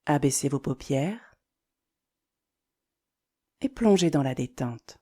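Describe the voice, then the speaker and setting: Woman doing guided meditation, really low soft-toned mellow voice, slow and soothing, lots of pauses. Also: husky. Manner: calm, soothing, measured, with deliberate pauses. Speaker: yoga nidra guide.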